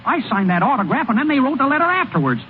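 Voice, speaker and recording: High-voiced hayseed American ballplayer, old radio. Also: male voice, big pitch swings, fast, narrow, tinny audio. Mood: earnest, urgent. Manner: folksy, ungrammatical, hesitant stammering speech. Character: naive, boastful-turned-dejected hayseed male baseball pitcher. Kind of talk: old-time American radio drama acting. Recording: thin, noisy vintage radio broadcast recording.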